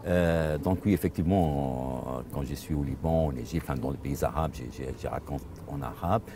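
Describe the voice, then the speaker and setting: A man's voice: very deep voice, moderate pace, mellow. An older man from Lebanon, a storyteller whose first language is Arabic, answering interview questions thoughtfully and repeating words as he searches for them. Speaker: storyteller, father. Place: outdoor festival.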